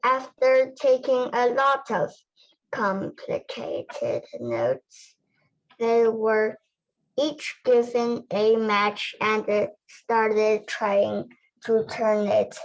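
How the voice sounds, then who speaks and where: A female student reading a novel aloud in a halting, careful way, word by word. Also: a little high, highs cut off. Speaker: student reader.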